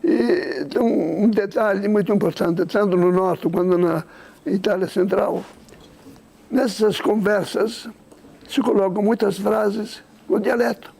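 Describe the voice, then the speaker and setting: High-pitched, gravelly man. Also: steady tone, takes it slowly, a little mellow. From Italy. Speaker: interview guest. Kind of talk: conversational explanation of culture and history.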